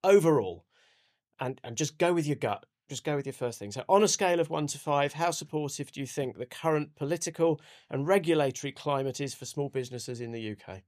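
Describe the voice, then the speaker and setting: A man's voice: fairly high pitch, brisk, a little mellow. A man hosting a business podcast, steering the talk with upbeat guiding questions and frequent "you know". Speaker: podcast host.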